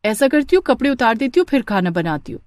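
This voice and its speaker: A woman's voice: on the high side, big pitch swings, fast, darkish tone, dry recording, clean studio sound, voice pushed up. A woman voicing a young daughter-in-law, pleading and justifying herself in a family story. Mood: complaining. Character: young new daughter-in-law, pleading, unashamed and heat-weary.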